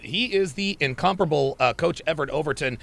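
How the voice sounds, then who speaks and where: A man's voice: on the high side, fast talker, vocal fry, fairly bright tone. A man in his forties hosting a podcast interview, chiming in eagerly and affirming his guest often. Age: 40s. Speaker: podcast host.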